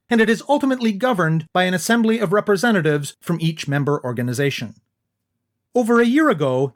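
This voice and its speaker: A man with a fairly high voice reads a prepared introduction as a radio host. His delivery is measured and clear. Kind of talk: scripted narration.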